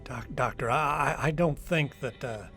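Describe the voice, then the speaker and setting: A man's voice: medium pitch, normal speed, sharp tone, faint music behind, natural voice. An actor playing a nervous, hesitant man who stammers, in an old-time radio drama.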